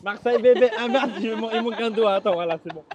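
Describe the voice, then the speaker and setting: Young male interviewer, high voice, jokey, rambling, walking outdoors. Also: fast talker, a crowd in the background. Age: 20s. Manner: joking, rambling, repeats himself. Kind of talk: casual joking interview.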